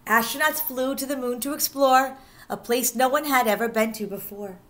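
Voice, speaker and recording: A woman with a somewhat high voice reads a children's picture book aloud as a teacher would for her class. Her delivery is animated and expressive, following the bounce of the rhymes and leaning on the important words. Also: moderate pace. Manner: animated, sing-song delivery of rhyming verse, stressing key words. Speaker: teacher reading aloud to pupils.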